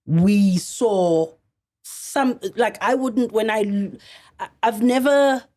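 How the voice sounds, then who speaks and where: A middle-aged South African woman telling a story as a podcast guest, earnest and halting, often restarting her sentences. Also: medium pitch, mellow. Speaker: actress guest.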